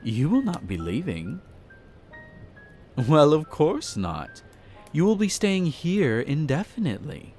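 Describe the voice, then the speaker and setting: A man performs an aristocratic male vampire in a roleplay audio drama, over background music. He draws out his words with theatrical pauses, his pitch sweeping up and down. The manner is formal and condescending, flirtatious yet possessive, like a master addressing his pet. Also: huge pitch swings, a little mellow, exaggerated voice. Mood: amused, menacing. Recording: music behind the voice.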